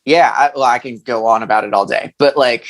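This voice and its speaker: A man's voice: medium pitch, a little fast. A thirty-year-old man chatting casually as a musician guest in an interview, hesitant and rambling, with slangy phrasing.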